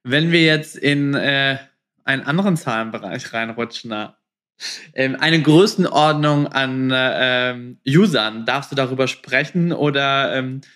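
A man's voice: medium pitch, unhurried, fairly bright tone. Informal man hosting an interview podcast. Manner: informal, colloquial, sprinkles in English slang. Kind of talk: casual interview questioning.

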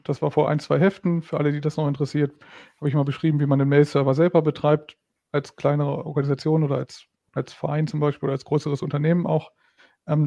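A man on a tech podcast, explaining quickly how to run a mail server. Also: a little high, creaky, mellow, no echo. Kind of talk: casual tech podcast chat.

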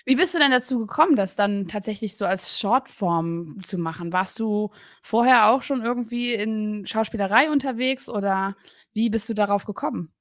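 Woman, podcast host, casual interviewer, bright chatty tone, dry close mic. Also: mid-range voice, normal speed, dry recording. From Berlin, Germany. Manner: upbeat, chatty, many fillers. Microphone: remote recording, separate room.

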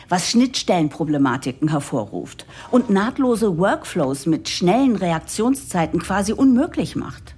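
A woman's voice: mid-range voice, a little mellow, husky. An actress playing an earnest, composed woman who narrates an office audio drama in a formal, diplomatic way. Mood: reflective, earnest. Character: earnest, composed woman narrator championing change, jargon-heavy.

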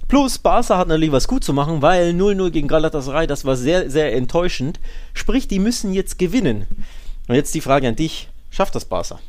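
A man's voice: on the high side, animated, a little fast, a little mellow. Man chatting casually as podcast co-host. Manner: colloquial, opinionated fan talk. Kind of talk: casual two-person sports podcast chat.

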